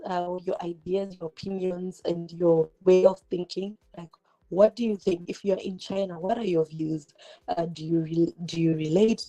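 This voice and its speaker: A woman's voice: lowish voice, dark tone, rough recording. A woman in her twenties from Zimbabwe, for whom English is not her first language, chatting directly to viewers on a livestream from her kitchen while cooking. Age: 20s. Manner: chatty, direct, rhetorical questions, many "like" fillers; multitasking, cooking while talking. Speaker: YouTuber hosting a livestream. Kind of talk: casual livestream chat. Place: home kitchen. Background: fireworks outside.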